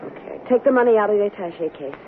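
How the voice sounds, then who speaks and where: An actress playing a scheming, coolly commanding wife in radio drama, with a brisk, insistent, persuasive manner. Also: female voice, medium pitch, lively intonation, lightly acted. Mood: urgent, commanding. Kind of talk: 1970s radio drama acting. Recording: tinny, noisy old radio broadcast.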